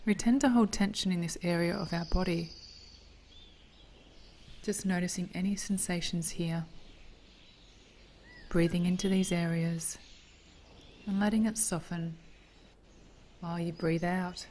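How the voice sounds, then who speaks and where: A woman leading a guided meditation in a gentle, soothing, hushed voice, with phrases trailing into breath. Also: on the low side, steady tone, unhurried, pauses often, slight background noise.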